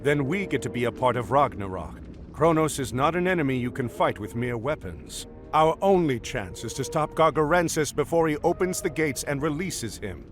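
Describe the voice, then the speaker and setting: An actor playing a heroic, stern Greek admiral in video game cutscenes, earnest and resolute. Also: male voice, mid-range voice, big pitch swings, normal speed, slight background noise, lightly acted. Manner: earnest and resolute, urgent commands. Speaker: game character.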